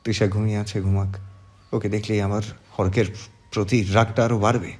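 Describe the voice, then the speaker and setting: A man playing a coldly controlled, angry young lover in an audio drama, speaking tersely with outward calm. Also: fairly low pitch, a little fast, natural voice. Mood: brooding anger.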